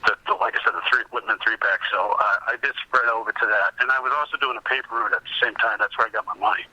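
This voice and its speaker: A man in his fifties chatting casually as an interview guest, telling anecdotes in an animated way and quoting himself over a dull call connection. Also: on the low side, quick pace, no room sound. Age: 50s. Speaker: podcast guest, comic collector.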